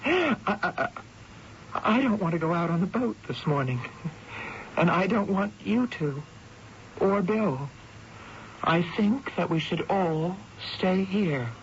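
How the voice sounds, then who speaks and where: Shaken wife, dull radio sound. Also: female voice, on the low side, expressive, takes it slowly, pauses often, slight background noise. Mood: uneasy, bewildered. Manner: hesitant, dramatic. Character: shaken, bewildered estranged wife recalling uncanny events. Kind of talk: radio drama acting and narration.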